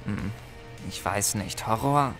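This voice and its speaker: A man's voice: on the low side, slow, fairly bright tone, soft background music. An actor playing a sceptical young male shop clerk in an audio drama, casual and dryly sarcastic. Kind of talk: radio play dialogue.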